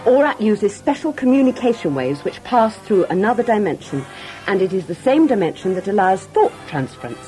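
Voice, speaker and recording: A woman's voice: medium pitch, sing-song, a little fast, dark tone, faint music behind, close to an everyday voice, poor audio. A woman playing a telepathic alien crew member in a sci-fi radio skit, explaining earnestly as if reading. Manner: earnest explaining, read from script.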